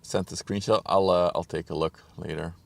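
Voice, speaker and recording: A man streaming live outdoors, chatting casually and muttering to himself while he sets up his gear, his voice a bit hoarse from a cold. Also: deep voice, steady tone, moderate pace. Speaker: ship-spotting livestreamer. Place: outdoors on a riverbank.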